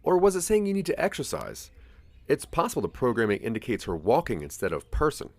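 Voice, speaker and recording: A man's voice: medium pitch, wildly swooping pitch, brisk, darkish tone, dry recording. A man giving a scripted second-person narration for an audio drama.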